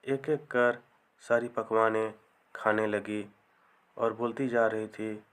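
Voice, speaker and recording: A man narrating a scripted comic folktale as a storyteller, in measured short phrases. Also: fairly low pitch, fairly even intonation, slight background noise.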